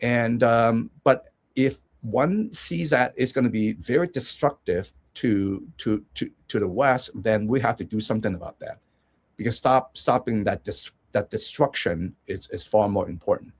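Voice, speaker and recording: A man's voice: medium pitch, measured pace. A man from Hong Kong speaking as a guest in a casual discussion, rambling with many restarts and fillers.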